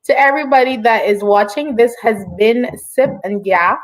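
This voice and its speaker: A woman's voice: a little high, normal speed, poor audio. A woman hosting a casual online interview in an encouraging, affirming way. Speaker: interview show host.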